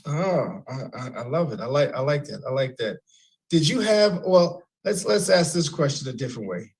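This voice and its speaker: A man hosting a radio interview, halting and repeating words as he rephrases his questions. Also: fairly high pitch, expressive, creaky. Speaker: radio host.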